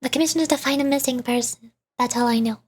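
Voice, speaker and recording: Female VTuber, high husky voice, casual livestream chatter, trips over words reading aloud, faint game music. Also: quick pace. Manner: rambling, stumbles over words while reading. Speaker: streamer, VTuber.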